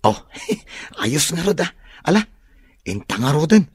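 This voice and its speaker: A man's voice: fairly high pitch, sing-song, a little slow, husky, no room sound, full character voice. An actor playing an easygoing, teasing young male student in an Ilocano radio drama.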